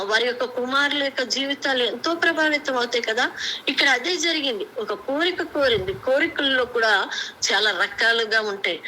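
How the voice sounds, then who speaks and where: A woman preacher speaks in a bright, forceful, fairly high voice. She teaches without a script, pausing and restarting as she explains and puts questions to her listeners. The conference-call recording has some faint noise. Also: low-quality recording. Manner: halting, explanatory, with rhetorical questions. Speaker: preacher sharing a Bible message. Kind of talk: extempore devotional teaching to a prayer group.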